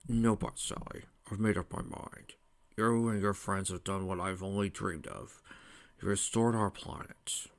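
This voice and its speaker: A man voicing a grave, weary old fatherly king in a hushed, breathy voice for a story. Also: deep voice, fairly even intonation, a little slow. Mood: grave, resigned.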